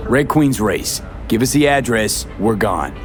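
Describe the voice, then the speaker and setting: A man's voice: medium pitch, expressive, a little slow, faint music behind, lightly acted. A man playing a tough, menacing young mercenary in a video game, speaking in terse, clipped phrases. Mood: threatening. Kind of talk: video game dialogue acting.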